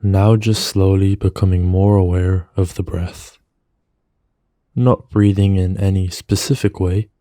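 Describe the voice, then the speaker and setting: A man narrating a guided meditation in a soothing, gentle manner, with measured phrases and pauses, close on the mic. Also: low voice, dry recording.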